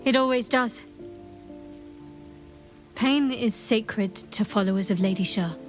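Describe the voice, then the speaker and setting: A woman playing a guarded, secretive young cleric in a video game, speaking in a reserved, measured, dryly wry way. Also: on the high side, lightly acted. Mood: soft, devout. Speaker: video game companion character. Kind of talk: dramatic game dialogue.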